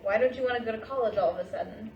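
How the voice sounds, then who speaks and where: A woman's voice: fairly high pitch, rapid-fire, lo-fi sound, lightly acted. A woman playing a doting middle-aged mother in a staged play reading, insistent and motherly as she nags and reassures. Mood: exasperated. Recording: echoey room, distant mic, clear hiss.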